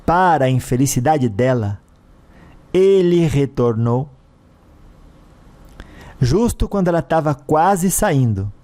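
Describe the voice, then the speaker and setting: A man's voice: a little high, expressive, slow pace, pauses often, warm tone. A man with a Brazilian accent reading a scripted dramatic story as its narrator.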